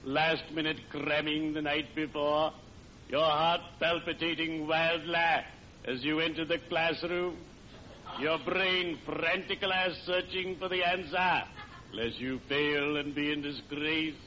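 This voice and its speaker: Morbidly cheerful undertaker, slow stately phrasing, forceful bright tone, formal and solemn, vintage radio sitcom. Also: male voice, fairly high pitch, fairly even intonation. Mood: morbid relish. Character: gleefully morbid, courtly friendly neighborhood undertaker. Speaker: radio comedy actor. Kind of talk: old-time radio sitcom acting. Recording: muffled, noisy vintage radio with audience.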